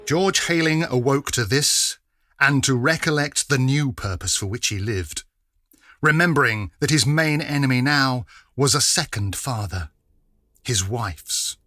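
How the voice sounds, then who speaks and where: A man's voice: medium pitch, measured pace, pushed and bright, no echo, studio quality. A man narrating a serious audio drama in a grave, solemn manner.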